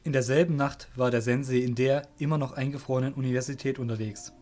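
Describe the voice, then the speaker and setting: A man's voice: medium pitch, fairly even intonation, fast, a little mellow, soft background music. A man narrating a scripted fantasy story as the narrator of an audio drama.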